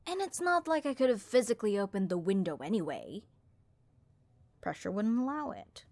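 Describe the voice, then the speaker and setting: A woman voicing a bored, snarky harpy copilot in a comedic audio roleplay, with a raspy vocal fry and overdramatic, deadpan complaints. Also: medium pitch, normal speed, dry recording. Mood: bored, complaining. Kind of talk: comedic audio roleplay monologue addressed to listener.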